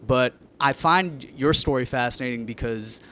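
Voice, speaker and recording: Measured male podcast host. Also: mid-range voice, sharp tone, slight background noise. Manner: measured, articulate questioner. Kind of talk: interview podcast.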